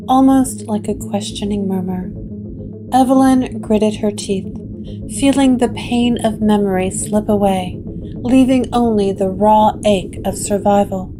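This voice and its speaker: A woman narrating a gothic horror story with measured, atmospheric delivery. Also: medium pitch, rough recording. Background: guitar music.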